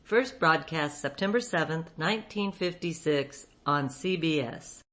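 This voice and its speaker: A woman's voice: sits low, lively intonation, unhurried. A woman hosting an old-time radio hour, reading a scripted introduction.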